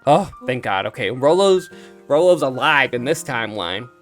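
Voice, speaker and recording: A man giving casual, animated game commentary as a let's play streamer, reacting aloud to the story. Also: mid-range voice, moderate pace. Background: game music.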